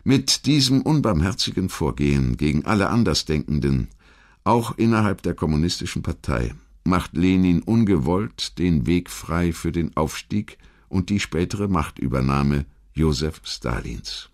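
Male narrator for a history documentary: low register, measured and articulate scripted storytelling. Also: very animated, a little mellow.

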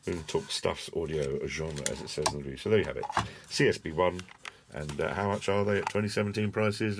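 A man's voice: low-pitched, creaky, background noise. A middle-aged British man giving a casual hands-on product review in a chatty, colloquial way, with wry asides. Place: small cluttered indoor desk workspace.

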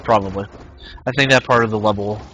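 A man's voice: on the low side, fairly even intonation, fast talker, pushed and bright, dull audio, slight background noise. A man in his twenties casually streaming a game, rambling and reading the chat aloud. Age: 20s. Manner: rambling, reads chat aloud, many fillers. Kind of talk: casual live-stream chat while gaming.

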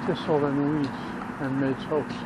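A man's voice: a little high, level tone, measured pace. A middle-aged man, casually commenting on a walking tour and reading out signs as he passes them. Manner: muttering, reads signs aloud, trails off. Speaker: vlogger filming a walking tour. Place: outdoors on a small-town street. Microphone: body-worn action camera. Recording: loud street traffic noise outdoors.

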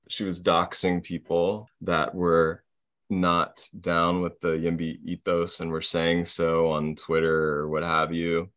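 Low-voiced man, rambling and sardonic. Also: a bit bright. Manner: rambling, many fillers, sardonic. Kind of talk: casual conversation.

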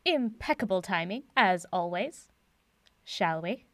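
An actress playing a confident, brisk woman professor with a dry wit in a naturalistic audio drama. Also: medium pitch, animated, dry recording, close to an everyday voice. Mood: wry, teasing. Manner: brisk, wry, decisive.

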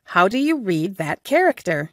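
A woman teaching English, saying each short everyday phrase several times, slowly and carefully for learners. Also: medium pitch, sing-song, no echo, clean studio sound. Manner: slow, careful phrase repetition. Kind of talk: repeating phrases for language learners.

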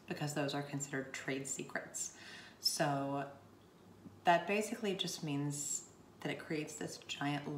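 A woman in her thirties, slightly hoarse from losing her voice, chatting through a home how-to demonstration at her bathroom counter. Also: low-pitched. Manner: chatty, explains while demonstrating with hands. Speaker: home DIY vlogger. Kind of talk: casual how-to vlog. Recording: roomy home recording, faint hiss.

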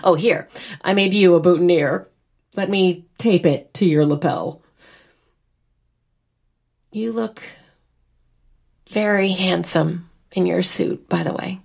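A woman's voice: lowish voice, slow, vocal fry, pauses often, darkish tone, husky, exaggerated voice. A woman playing a wry, wistful young American bride in a naturalistic audio drama. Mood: affectionate.

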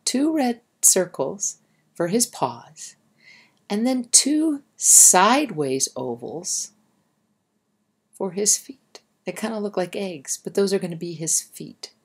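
A woman's voice: medium pitch, very animated, slow, breathy edge. A woman reading aloud to young children and guiding them in simple, instructive language, speaking directly to the listener. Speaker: storybook reader and drawing guide.

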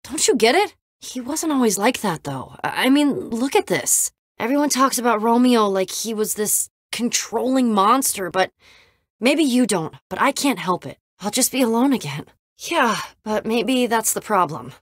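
A woman playing a guarded, sensitive young woman adventurer in a video game, with halting, emotional, conversational delivery. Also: a little high, expressive, no room sound, clean studio sound. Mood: earnest, sad.

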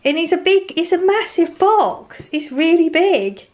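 A woman's voice: very high voice, lively intonation, a little slow. A woman in her forties chatting casually at home in her kitchen, rambling cheerfully about the bargains she bought. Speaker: vlogger. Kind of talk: casual grocery haul chat.

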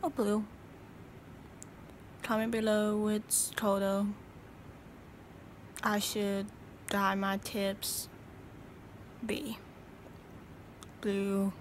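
A tired young woman talking slowly into a phone held close, rambling and half-whispering in a small room. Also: mid-range voice, little pitch movement, slight background noise. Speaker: vlogger. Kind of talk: casual selfie video chat.

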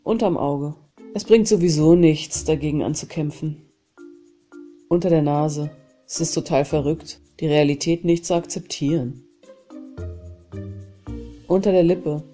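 A woman with a cold leads a guided tapping exercise in a low, mellow voice. She calls out each point, then says a short phrase to repeat. Faint music plays underneath. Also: measured pace, pauses often. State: has a cold. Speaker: self-help channel host. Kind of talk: guided EFT tapping session.

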